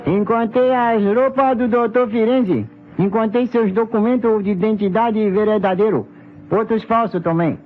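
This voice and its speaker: An actor playing a polite, deferential police assistant with halting foreign phrasing in an old radio mystery. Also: mid-range voice, fairly even intonation, close to an everyday voice. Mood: polite, eager. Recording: tinny, phone-like old radio recording.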